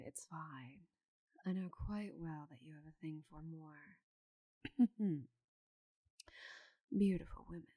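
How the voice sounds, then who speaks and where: A woman voicing a giant female orc knight in an ASMR roleplay, confident, teasing and loyal, with soft breathy asides. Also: sits low, dry recording, full character voice, rough recording. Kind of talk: ASMR roleplay, intimate slow delivery.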